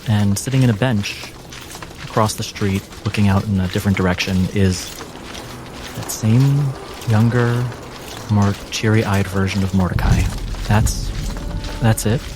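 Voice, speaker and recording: A man narrating a tabletop roleplaying game as game master, in a measured, deliberate way. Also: fairly low pitch, fairly even intonation, background noise. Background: music.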